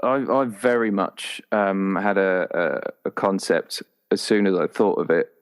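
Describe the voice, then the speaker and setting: A man's voice: sits low, a little slow, smooth. A man in casual, jokey and hesitant conversation as a podcast guest, recorded in a cupboard. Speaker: podcast guest, role-player. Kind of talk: casual chat. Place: small cupboard used for remote recording.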